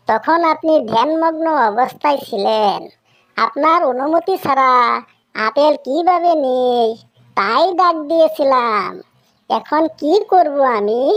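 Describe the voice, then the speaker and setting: A woman plays a dutiful little father tailorbird from a cartoon. He is timid, courteous and hesitant, with a fairly high voice and a dry sound. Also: no room sound, heavily acted. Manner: polite, hesitant, apologetic. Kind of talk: Bengali cartoon character dialogue.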